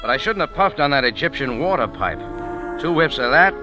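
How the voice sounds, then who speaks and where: Man playing a wry, animated hard-boiled adventurer. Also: a little high, a little fast, close to an everyday voice. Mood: wry narration. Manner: sardonic, clipped, offhand. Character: wry, skeptical, hard-boiled male cafe owner in Cairo. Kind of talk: 1940s-50s radio drama, first-person noir narration. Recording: old radio broadcast with music underneath.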